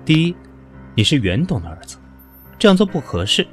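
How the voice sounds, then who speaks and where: A man acting in an audio drama as a cool, sharp-tongued professional who is weary and dismissive of his pushy lover. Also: a little high, sing-song, a little fast, faint music behind. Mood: cold, dismissive.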